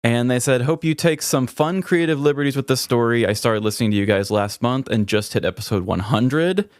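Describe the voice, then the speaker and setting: A man's voice: medium pitch, brisk, no room sound, clean studio sound. A man with an American accent co-hosts a podcast, bantering casually and telling stories. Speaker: podcast co-host.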